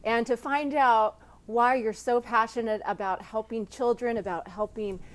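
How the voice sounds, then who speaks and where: A woman's voice: fairly high pitch, steady tone, normal speed. A woman hosting a sit-down interview, speaking in an effusive, complimentary and empathetic way. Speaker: interviewer and host.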